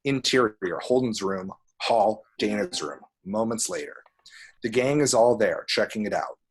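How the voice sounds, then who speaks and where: A man reading screenplay action descriptions aloud at a table read, with a husky voice. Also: lowish voice, measured pace.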